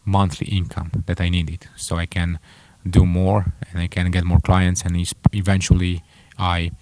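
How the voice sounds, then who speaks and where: A young man with a Romanian accent telling his own story to camera, halting and correcting himself with many fillers. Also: low-pitched, completely flat delivery, darkish tone, no room sound. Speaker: entrepreneur vlogger. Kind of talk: casual personal story to camera.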